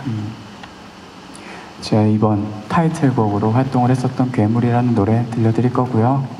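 A thirty-year-old male singer talking softly and shyly to a concert audience between songs, on a handheld stage microphone. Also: mid-range voice, steady tone, normal speed, a little hiss or hum. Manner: soft-spoken, shy and playful with the audience. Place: large concert hall, on stage. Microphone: handheld stage mic. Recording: concert hall with long reverb, music behind.

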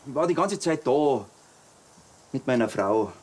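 An actor playing an irritable, defensive farmer with a Bavarian accent in a TV crime comedy. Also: male voice, medium pitch, lively intonation, a little slow, a little mellow, husky. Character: defensive, irritable male farmer at snack stand.

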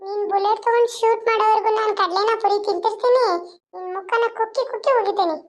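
Someone voicing a brave young village man, very high and flat. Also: fast talker. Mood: defiant. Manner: reassuring, defiant. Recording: dull lo-fi sound.

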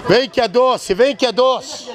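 Brazilian man hawking fruit, loud crowd. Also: extremely high pitch, unhurried. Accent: Brazilian Portuguese. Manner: chanting, repetitive sing-song hawking. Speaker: market fruit vendor. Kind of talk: street market sales patter. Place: busy outdoor street market under awnings. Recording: loud crowd noise in open-air market. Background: loud market crowd.

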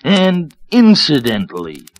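A pompous radio-play narrator acted by a man on a scratchy old acetate disc. He speaks in a slow, measured way with broad swoops of pitch, in the grand manner of vintage radio acting. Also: huge pitch swings, exaggerated voice. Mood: grandly sentimental. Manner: pompous, theatrical storytelling. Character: grumbling, theatrical male narrator of a Christmas parody. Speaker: radio actor. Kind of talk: 1930s-40s radio acting.

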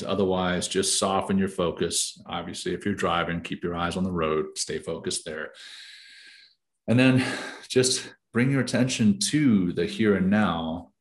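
A man leading a guided meditation, giving calm, soothing and deliberate instructions. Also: sits low.

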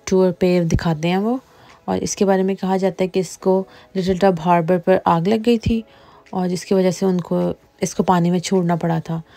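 A woman vlogger calmly narrating a boat tour, explaining the sights as she goes. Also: fairly low pitch, gravelly, dry recording. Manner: calm explanatory voice-over, mixing in English phrases. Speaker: travel vlogger. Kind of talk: travel vlog narration. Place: outdoors on a tour boat on a lake.

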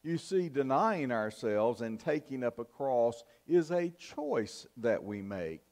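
An older man preaching a sermon in a church, in a measured, earnest style with emphatic pauses. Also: medium pitch, sing-song, a little mellow. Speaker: pastor. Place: church sanctuary, at the pulpit. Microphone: fixed camera from the back of the church.

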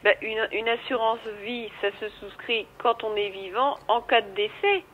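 Tinny telephone audio, woman receptionist, fairly high voice, firm, protesting, explaining to a caller. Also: normal speed, a little hiss or hum. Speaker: insurance office receptionist. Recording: tinny narrow telephone line.

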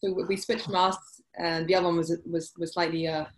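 A woman in her forties with a British accent, answering interview questions over a video call, halting with many restarts. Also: lowish voice, level tone, slight background noise. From UK and France. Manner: hesitant, many restarts and fillers. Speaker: guest, journalist. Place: indoor room, video call. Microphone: laptop webcam mic.

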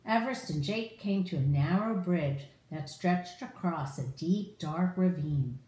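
A middle-aged woman reading a picture book aloud to children in an expressive, direct way. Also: on the low side, unhurried, reverberant, low-quality recording. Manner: animated, addressing children directly. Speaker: storyteller for children. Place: room in a home, indoors.

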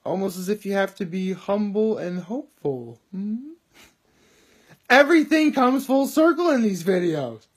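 A young man with a naturally loud, high voice talks straight to his phone camera at arm's length in his living room. He chats in an upbeat, rambling way, full of asides. The feel is an easygoing daily video diary. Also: expressive, unhurried, slightly rough. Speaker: vlogger. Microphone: phone camera held at arm's length.

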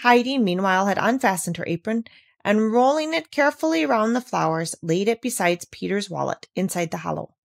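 A woman reading a classic children's novel aloud, with measured, expressive delivery. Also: medium pitch, gravelly, dry recording, clean studio sound.

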